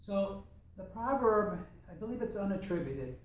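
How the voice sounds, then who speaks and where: A young man teaching a tai chi class in a dojo while he demonstrates, talking in a relaxed, thoughtful way. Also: high voice, moderate pace, a little hiss or hum. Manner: relaxed, thoughtful, chatty with fillers. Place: large empty dojo with mat floor. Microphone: far camera. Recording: echoey room from a distance.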